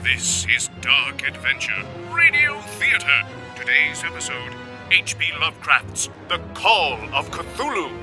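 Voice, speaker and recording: An actor playing a grand, theatrical announcer introducing a 1930s radio mystery serial. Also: male voice, on the high side, big pitch swings, slow, low-quality recording. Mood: dramatic. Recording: vintage radio drama, music behind.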